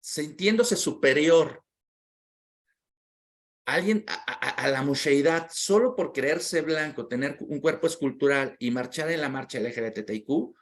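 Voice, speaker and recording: A man's voice: a little high, unhurried. A man as an interview guest, telling a personal story in a heartfelt, reflective way and often repeating words while he searches for them.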